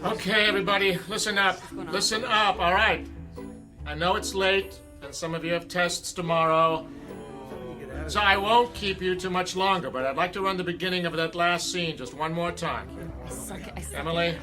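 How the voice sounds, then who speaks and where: A man's voice: high voice, fairly even intonation, normal speed, close to an everyday voice. An actor playing a harried male school drama director rallying his cast late at night. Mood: coaxing. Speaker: theatre director. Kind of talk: radio drama acting. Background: music score.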